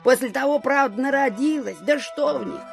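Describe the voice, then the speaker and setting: An old country widow with a high, creaking voice. She is fretful, suspicious and slow to understand, speaking in a folksy, plaintive way in a classic audio drama, with music behind her. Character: elderly provincial widow landowner, simple, fretful, suspicious.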